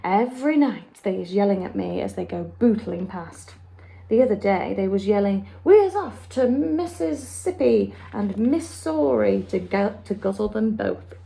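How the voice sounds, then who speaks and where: A woman's voice: mid-range voice, lively intonation, unhurried, vocal fry, warm tone, husky, exaggerated voice, rough recording. A woman voicing a big, kindly male giant who talks in mangled grammar and made-up words. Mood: earnest. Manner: ungrammatical, invented words.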